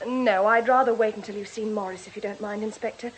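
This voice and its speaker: A woman's voice: mid-range voice, fairly even intonation, quick pace, slight background noise, close to an everyday voice, low-quality recording. An actress playing a devoted, anxious young woman, earnest and protective, in a radio drama. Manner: earnest, pleading.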